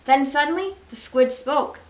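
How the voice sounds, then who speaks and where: A woman reading a children's storybook aloud as a library story time host, with expressive, deliberate phrasing for young listeners. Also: sits high. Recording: roomy echo, distant microphone.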